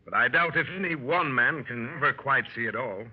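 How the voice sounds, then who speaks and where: An actor playing a plucky gentleman adventurer, telling his exploits wryly in 1930s radio style. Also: medium pitch, brisk, husky. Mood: wry storytelling. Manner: wry, animated storyteller. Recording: muffled 1930s radio broadcast.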